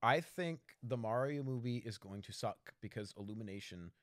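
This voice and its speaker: A man's voice: on the low side, animated, normal speed, dry recording, clean studio sound. A man co-hosting a casual discussion, giving blunt opinions. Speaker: podcast co-host.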